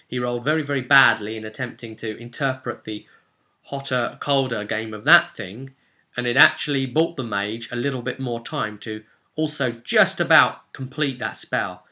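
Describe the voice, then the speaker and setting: A man's voice: mid-range voice, bright, forceful tone. A man narrating a tabletop fantasy role-play session as game master, telling it dramatically and off the cuff in vivid, emphatic short phrases.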